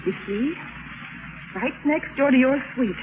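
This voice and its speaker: A woman's voice: sits high, animated, measured pace. An actress playing a frightened, insistent young woman with a dramatic, pleading manner in a 1950s radio drama. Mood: urgent, pleading. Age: 20s. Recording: tinny, noisy 1950s radio recording.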